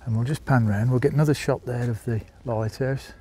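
A man with a Birmingham accent strolls through a seaside town, filming on a phone and chatting to his viewers as folks. His voice is mellow and of middling pitch. A faint outdoor hiss sits under it. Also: fairly even intonation, fairly quick. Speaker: vlogger. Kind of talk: walking tour narration.

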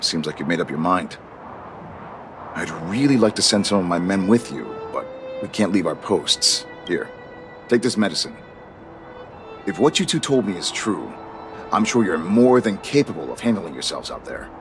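An actor playing a stern but fair guard captain in a video game, speaking formally and earnestly in measured lines. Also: male voice, low-pitched, expressive, music in the background. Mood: accommodating, dutiful. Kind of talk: scripted video game voice acting.

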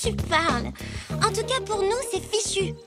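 A woman's voice: very high voice, animated, a little slow. A woman voicing an upbeat, persuasive and determined young schoolgirl in a cartoon, with an eager, coaxing delivery. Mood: indignant, determined. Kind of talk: animated cartoon voice acting. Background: music.

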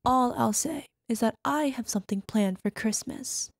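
Woman acting as playful affectionate wife, ASMR roleplay, flirty, breathy asides, touch of fry, close dry sound. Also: on the high side, normal speed, no echo. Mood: playful, teasing. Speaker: voice actor.

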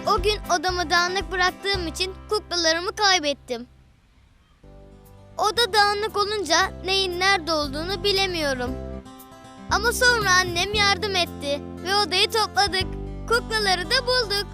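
An earnest little cartoon boy, speaking in a very high, childlike voice. His delivery is simple and expressive, like a child narrating his day. Music plays strongly behind him. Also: a little slow. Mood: chatty, content. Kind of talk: children's cartoon dialogue and storytelling.

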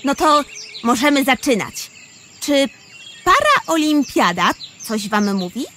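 Teasing, encouraging schoolgirl for kids' audio play, female actor, high and very animated. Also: slow pace, slight background noise, heavily acted. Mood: friendly, eager. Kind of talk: children's audio drama acting.

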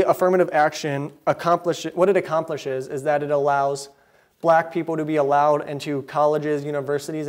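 A young man preaching a sermon from behind a pulpit into a pulpit microphone, earnest and mildly indignant, with quick asides. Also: mid-range voice, a little mellow. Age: young adult. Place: church sanctuary, behind a wooden pulpit.

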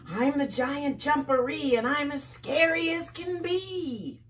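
A woman's voice: high voice, lively intonation, takes it slowly, sharp tone, far from the mic, voice pushed up, exaggerated voice. A woman voicing a boastful, menacing hidden monster from a children's storybook in sing-song boasts, a little away from the mic. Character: boastful hidden giant jumperee, loud and menacing.

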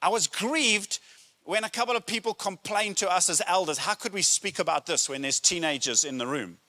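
A middle-aged man, a pastor preaching a sermon with emphatic, deliberate phrasing and pauses, speaking into a handheld microphone. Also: high-pitched, pushed and bright. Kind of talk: sermon to a congregation. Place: church auditorium stage, indoors.

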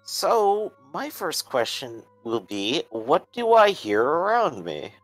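Guy player, lively voice going up and down, asks what he hears, faint music behind. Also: on the high side, slow pace, dry recording, rough recording. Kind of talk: in-character tabletop play.

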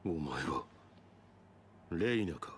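An actor playing a brooding, stoic fighter hero in a video game, speaking in terse, solemn lines. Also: male voice, very deep voice, expressive, slow pace, slight background noise, exaggerated voice. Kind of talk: video game cutscene acting. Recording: loud music behind.

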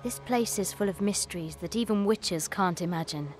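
A woman's voice: mid-range voice, normal speed, faint music behind, natural voice. Woman playing a cool, proud village herbalist. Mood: mysterious, knowing. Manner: cool, assertive. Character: guarded, proud herbalist woman rumoured a witch. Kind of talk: dramatic video game acting.

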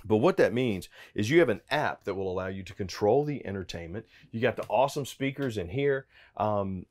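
A man's voice: lowish voice, expressive, a little fast, darkish tone, no room sound. A man in his forties giving an upbeat, chatty walkthrough as an RV salesman, inside a trailer and then out on a dealer lot. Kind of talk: casual product walkthrough.

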